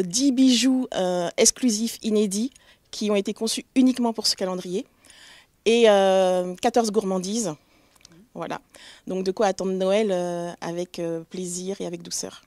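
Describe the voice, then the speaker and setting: Woman from togo, chatting as a guest, lots of ums, mid voice, no room echo. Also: mid-range voice. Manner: hesitant, many fillers, warm and proud. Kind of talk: interview answers.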